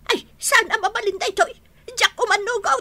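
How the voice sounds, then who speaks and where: A woman playing an excitable, suspicious mother who nags and exclaims in an Ilocano radio drama, with an Ilocano accent. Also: very high voice, a little fast. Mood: alarmed, scolding. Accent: Ilocano, northern Philippines. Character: excitable, suspicious, loudly scolding mother of grown son. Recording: clearly noisy radio drama recording.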